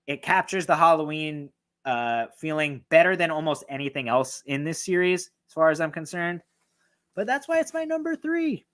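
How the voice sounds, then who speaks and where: A man with a voice going hoarse, excitedly and profanely ranking horror films in a rambling, casual way. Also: on the high side. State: losing his voice, hoarse. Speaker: horror film reviewer. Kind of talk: casual film ranking talk.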